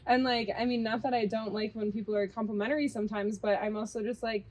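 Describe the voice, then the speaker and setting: A woman's voice: a little high, steady tone, fast talker, darkish tone. A woman who works as a restaurant manager, talking in a chatty, hesitant way with many fillers. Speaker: restaurant assistant general manager. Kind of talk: casual chat.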